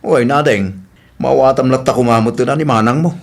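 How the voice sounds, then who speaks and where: A man's voice: medium pitch, moderate pace, gravelly, natural voice. A man with an Ilocano accent playing a teasing, gossipy married uncle in a radio comedy drama.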